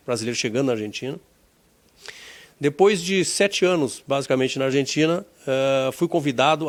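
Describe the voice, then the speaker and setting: A middle-aged man from Brazil, an insurance executive looking back on his career in an oral history interview, reflective and leaning on key words. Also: mid-range voice. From Rio Grande do Sul, Brazil.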